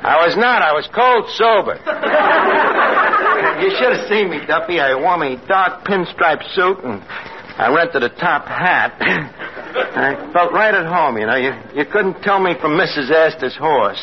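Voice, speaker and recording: A man's voice: on the high side, animated, unhurried. An actor playing a brash, streetwise tavern manager who mangles his words in a 1950s radio comedy. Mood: wisecracking. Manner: streetwise, malapropisms, wisecracking. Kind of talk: 1950s radio comedy acting before a live audience. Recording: old dull radio recording, loud hiss, audience.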